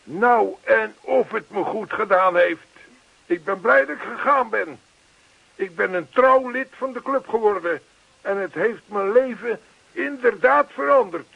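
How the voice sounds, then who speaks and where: A man's voice: sits high, measured pace, creaky, husky, no echo, heavily acted. A man playing an earnest, chatty older neighbour in a radio play, persistent, reassuring and long-winded. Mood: elated. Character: earnest, chatty older male neighbour zealous about yoga. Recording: thin, phone-like old radio play recording.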